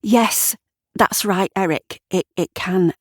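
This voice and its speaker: A woman's voice: lowish voice, slow pace, no room sound, clean studio sound. A woman voicing a kindly, well-meaning female neighbour in a story, soothing and tentative as she picks her words. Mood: gentle, tentative.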